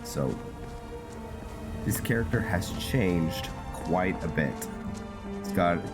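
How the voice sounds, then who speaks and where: Male gameplay host, very low voice, casual and halting delivery, music clearly behind him. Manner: halting, thinking aloud with pauses mid-sentence. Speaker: gameplay host narrating a roleplay series. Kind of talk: casual, rambling gameplay commentary.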